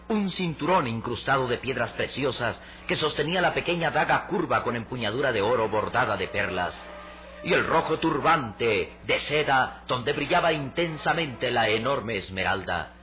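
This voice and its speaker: A man's voice: medium pitch, bright, forceful tone, a little hiss or hum. A man narrating a radio adventure serial in a dramatic, declamatory, suspenseful scripted style. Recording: dull old radio recording with music.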